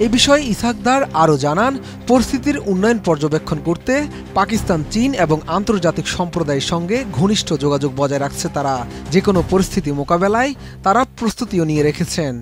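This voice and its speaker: A man's voice: high-pitched, lively intonation, fast. A man with a Bangladeshi accent, a news reporter reading a scripted voice-over report. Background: background music.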